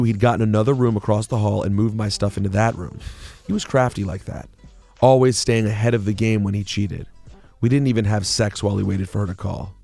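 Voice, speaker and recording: A man's voice: lowish voice, gravelly, darkish tone. A man voicing a sultry, overdramatic woman narrating a memoir of her affair, in a theatrical reading. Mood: suspenseful. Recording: music playing behind the voice.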